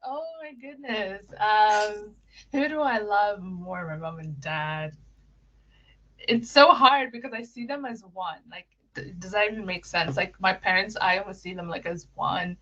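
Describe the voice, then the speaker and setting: A woman's voice: mid-range voice, unhurried, pushed and bright, lo-fi sound. A young woman from Kenya answering interview questions in a casual, giggly way, with lots of fillers and restarts. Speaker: interview guest.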